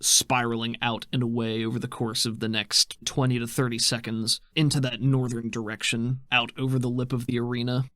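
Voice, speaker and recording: A man narrates a tabletop game as game master. His pitch sits in the middle, and the recording is close and dry. His delivery is descriptive and dramatic. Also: flat delivery, brisk, few pauses, a bit bright, no echo.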